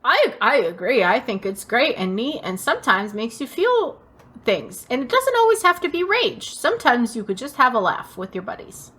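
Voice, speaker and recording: A woman's voice: fairly high pitch, very animated, normal speed, fairly bright tone. A woman co-hosting a podcast in casual banter, playfully exaggerating as she talks. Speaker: podcast co-host. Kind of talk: casual banter between friends.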